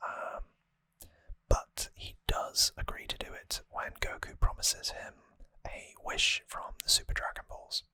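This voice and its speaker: A person whispering softly and breathily as an ASMR host, giving an unhurried, rambling recap with gently wry asides, close to the microphone.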